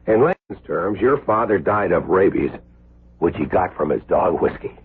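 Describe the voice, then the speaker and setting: A man's voice: low voice, expressive, normal speed, slightly rough, full character voice. An actor playing an intense, earnest male surgeon with emphatic delivery in an American 1970s radio drama. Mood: stern, accusing. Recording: muffled, lo-fi old radio broadcast.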